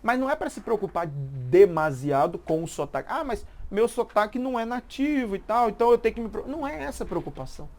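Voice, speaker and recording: Animated woman explaining language learning. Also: medium pitch, fast, hardly any pauses. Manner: explanatory, repeats points and checks understanding. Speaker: language teacher. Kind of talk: casual live-stream chat.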